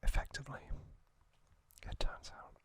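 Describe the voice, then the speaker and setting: A man whispering an ASMR recap as host, in a hushed, deliberate way with short phrases and gaps.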